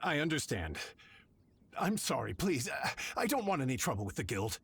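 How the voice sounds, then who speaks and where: A man's voice: mid-range voice, sing-song, a little fast, a bit bright, slight background noise. An actor playing a greedy, easily cowed male merchant in a video game, theatrical and haggling. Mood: pleading.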